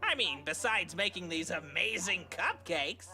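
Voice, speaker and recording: An actor voicing an exuberant, playfully sinister male party pony in an animated showman's manner for a fan audio drama. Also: medium pitch, a little slow, soft background music, full character voice. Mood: flirty, eager. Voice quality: bright.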